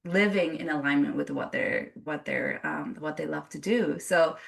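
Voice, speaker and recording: A woman's voice: deep voice, moderate pace, vocal fry, far from the mic. A woman who grew up in Japan, a yoga teacher telling her story in an interview, earnest and reflective with frequent restarts.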